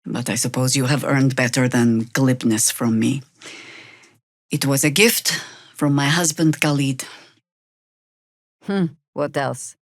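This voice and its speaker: A woman playing a seasoned, wry, world-weary warrior druid in a video game, speaking in a dry, sardonic, measured way. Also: extremely low pitch, steady tone, dry recording, heavily acted. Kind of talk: dramatic video game character dialogue.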